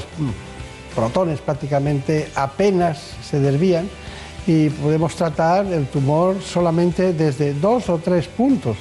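Guy hosting a health radio interview, soft mellow tone, bit high for a man, repeats himself, light music under. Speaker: radio show host. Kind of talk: health interview with introductory narration.

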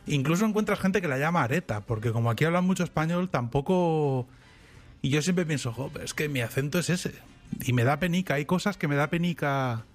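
A man's voice: fairly high pitch, brisk, soft background music. Chatty man, rambling storyteller. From Spain. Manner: rambling, animated storytelling with restarts. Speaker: podcast co-host. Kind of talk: casual chat.

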